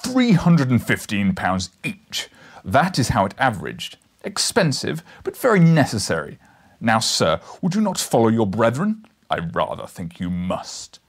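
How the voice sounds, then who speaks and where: A giant bull of a professor in a storybook, sneering and menacing. The booming voice is gravelly and swings widely in pitch, with slow, theatrical threat. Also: male voice, wildly swooping pitch, exaggerated voice. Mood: menacing, bombastic. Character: huge bullish bearded menacing bellowing professor, bombastic and sneering. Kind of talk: dramatic audiobook character reading.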